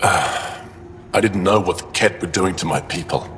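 A man's voice: deep voice, a little slow, background noise. An actor playing a bitter, defiant male alien traitor held prisoner in a video game, weary and resentful. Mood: bitter, regretful. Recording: echoing cell, music behind. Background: game soundtrack music.

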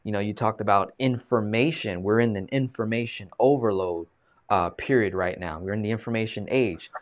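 A man in his thirties hosts a live video-call interview in a casual, animated way, leaning on fillers and restarts. His voice sits a little low. It is picked up by a webcam mic in a small room. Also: normal speed. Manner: animated, gestures a lot, many fillers. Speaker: host. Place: small room, plain green wall.

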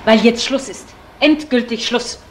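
A woman's voice: fairly high pitch, a little slow, a little hiss or hum, close to an everyday voice. An actress playing a firm, worried mother in a radio crime drama. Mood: firm, upset.

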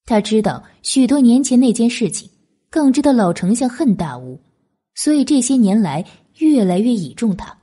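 A woman reads a historical novel with expressive, literary phrasing. Her voice sits fairly high and moves through animated intonation. The tone is mellow rather than bright, suited to dramatic storytelling. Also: moderate pace, husky. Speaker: audiobook narrator.

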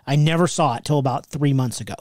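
A blunt, foul-mouthed male podcast co-host who teases his partner in casual chat. His voice sits at a middle pitch. It is recorded close and dry. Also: fast talker, a little mellow, dry recording. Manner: blunt, profane, teasing.

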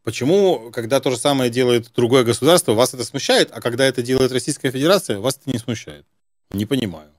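A man's voice: mid-range voice, lively intonation, fast, no room sound, clean studio sound. A middle-aged man hosting an unscripted phone interview as a prankster, questioning in a probing, mock-earnest way. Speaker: prank-call host. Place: indoor room with gaming chair.

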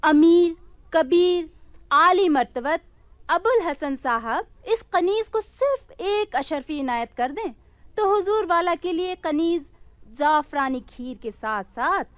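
Courtly, teasing young wife for radio drama: high voice, lively intonation, mock-deferential flourishes. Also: female voice, slow pace, no echo, heavily acted. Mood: teasing, nagging. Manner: flowery formal Urdu, theatrical. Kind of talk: Hindi radio play acting.

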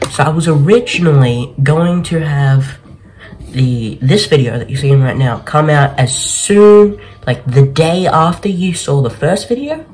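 A man's voice: fairly high pitch, a little mellow. A young man hosting a gaming video with casual gameplay commentary, speaking into a desk mic in a bedroom. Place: small bedroom, indoors.

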